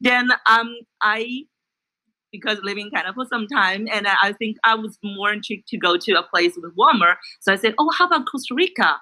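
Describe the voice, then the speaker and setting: A woman's voice: medium pitch, a little fast, pushed and bright, rough recording. A woman from China casually sharing personal stories as a guest in a group conversation.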